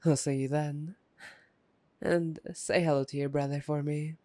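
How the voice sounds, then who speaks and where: Woman acting as a teasing predatory vampire, mellow dark tone, unhurried mocking drawl, close dry ASMR roleplay sound. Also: low-pitched, flat delivery, no room sound. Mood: suave, flattering. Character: taunting, playful, predatory vampire gloating over a captive hunter. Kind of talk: ASMR roleplay audio drama.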